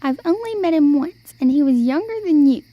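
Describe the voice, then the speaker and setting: A woman's voice: sits high, expressive, a little fast, no echo, muffled recording. A young woman playing a sly, folksy old travelling storyteller in an amateur radio play. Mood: knowing. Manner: folksy, old-fashioned phrasing.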